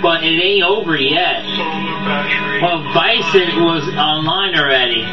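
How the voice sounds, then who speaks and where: A man's voice: a little high, slow, over loud music. Rambling man in a boxy, echoey room. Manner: rambling, stumbling, repeats words. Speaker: amateur video game movie reviewer. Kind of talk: casual unscripted countdown review. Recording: boxy echoey room, distant dull mic, background audio.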